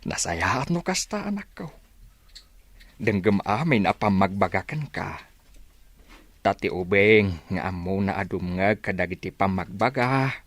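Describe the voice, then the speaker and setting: A middle-aged man playing a patient, hardworking village father who gives advice in an Ilocano radio drama, with a husky voice. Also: deep voice, lively intonation, a little slow, no echo, heavily acted. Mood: tender, advising.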